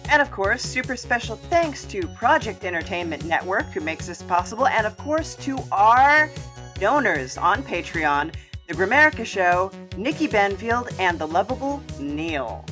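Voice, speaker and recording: A woman's voice: mid-range voice, sing-song, normal speed, fairly bright tone, soft background music. A woman podcast host talking casually and informally to her listeners, signing off an episode. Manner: chatty, informal.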